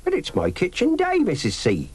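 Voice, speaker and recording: A man reading a children's story aloud, voicing an elderly housekeeping woman in a prim, fussy falsetto. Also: wildly swooping pitch, moderate pace, darkish tone, breathy edge, heavily acted. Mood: protesting. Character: elderly female housekeeper, set in her ways.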